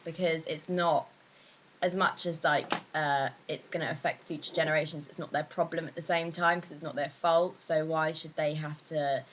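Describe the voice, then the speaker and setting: A young woman giving her opinion as an interviewee in a casual, halting way. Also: low-pitched, fairly even intonation, a little hiss or hum, rough recording.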